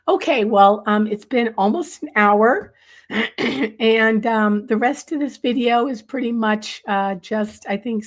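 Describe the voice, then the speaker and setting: A middle-aged woman with a chest cold, hoarse, teaching a live-streamed nature sketching lesson from a room at home, rambling with many ums and uhs. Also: medium pitch.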